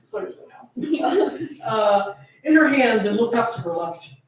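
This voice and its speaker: A woman's voice: a little high, animated, a little slow. A woman reading a story aloud theatrically and bantering casually between passages. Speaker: co-host of a fan fiction reading. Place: echoey room. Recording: very echoey room, distant from the mic.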